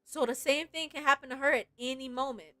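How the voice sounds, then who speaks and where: A woman game streamer chatting casually and reacting in an animated, giggly way. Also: sits high, brisk, dry recording, studio quality. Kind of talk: casual livestream chat with read-aloud game lines.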